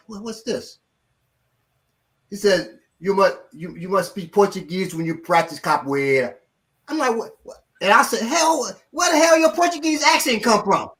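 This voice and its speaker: Animated male martial arts instructor. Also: sits high. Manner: animated, acts out quotes of others. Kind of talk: casual storytelling.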